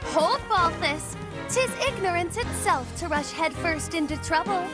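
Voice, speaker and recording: Grandiose, high-voiced haughty young noblewoman. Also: lively intonation, unhurried, music in the background. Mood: imperious. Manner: pompous, florid old-fashioned diction. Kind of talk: video game voice acting.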